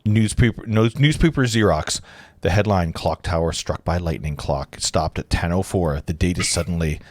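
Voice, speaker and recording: A man's voice: sits low, moderate pace, a little mellow, no echo. A man hosting an informal table read, narrating stage directions with chatty, conversational asides. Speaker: host reading stage directions.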